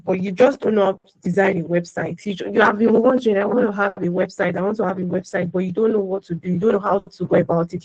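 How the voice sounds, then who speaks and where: A woman's voice: mid-range voice, darkish tone, no echo. Woman, video call host, welcoming, talkative, dull muffled sound, dry. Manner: chatty, welcoming, addresses attendees by name. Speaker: online class host. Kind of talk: hosting a video call seminar.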